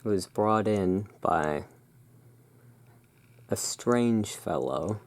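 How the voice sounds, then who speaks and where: A soft-spoken ASMR performer playing the courteous owner of a gothic curiosity emporium, speaking in a hushed, deliberate way. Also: low voice, expressive. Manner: slow, deliberate, hushed sales patter. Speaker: roleplaying shop owner, ASMR host.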